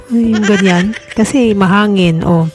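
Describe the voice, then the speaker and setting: A young woman chatting through a casual product review as a vlogger, unhurried and full of pauses and fillers. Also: medium pitch, a little mellow, soft background music.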